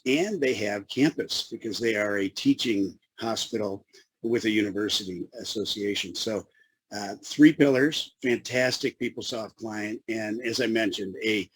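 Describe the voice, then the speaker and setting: A man with a Chicago accent giving a webinar presentation as a consultant, with a radio-style voice and a halting manner full of fillers and restarts. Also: on the low side, breathy edge, dry recording, poor audio.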